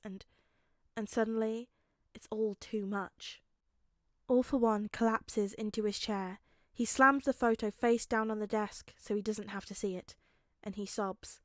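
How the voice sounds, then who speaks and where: A woman reading fiction aloud as narrator, expressive and dramatic, with emphatic pauses. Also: mid-range voice, no room sound, clean studio sound. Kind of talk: expressive scripted story narration.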